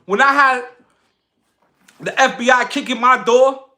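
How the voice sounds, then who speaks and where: Man, high pitch, bright forceful tone, emphatic livestream monologue, declamatory, repeated phrases. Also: unhurried. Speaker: social media content creator on a livestream. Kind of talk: impassioned livestream monologue to followers.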